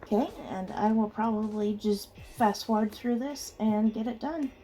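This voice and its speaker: A woman with a slightly rough, under-the-weather voice, giving a chatty, casual unboxing commentary as host of an art channel. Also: a little high, moderate pace, mellow, faint music behind, low-quality recording. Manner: chatty, reacts aloud with small exclamations. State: rough voice from being ill.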